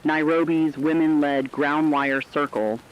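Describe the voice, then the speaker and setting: A man's voice: a little high, little pitch movement, measured pace, clear, resonant tone, lightly acted. A man playing a sober radio field correspondent, giving a scripted report on a collapsing world in a formal, earnest way. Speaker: news correspondent. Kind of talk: scripted dramatic news report. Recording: dull lo-fi radio sound.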